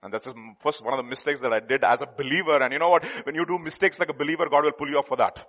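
A man preaching a sermon, emphatic, jumping between loud declarations and hushed asides. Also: a little high, rapid-fire. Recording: long hall reverb.

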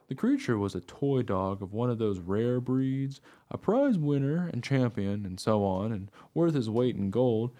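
An earnest, naive dog narrates his life in a radio play, acted by a man. His mid-pitched voice is mellow and rises and falls freely as he tells the story. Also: sing-song, unhurried, few pauses. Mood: rueful.

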